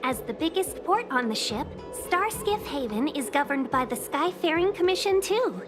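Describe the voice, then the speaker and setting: A young fox woman who works as a guide and envoy in a fantasy game. Her voice is high and swings widely in pitch. She speaks in courteous, formal, polished phrases over clearly audible music. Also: very animated, normal speed. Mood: courteous, welcoming. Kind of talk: video game voice acting. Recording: music behind the voice.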